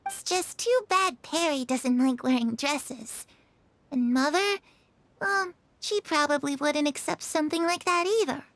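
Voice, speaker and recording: Very high, gentle girl. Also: a little slow, no echo. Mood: dreamy, playful. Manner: soft-hearted, earnest, girlish. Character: gentle, wistful, dreamy teenage girl. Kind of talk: scripted video game dialogue. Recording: background music under voice.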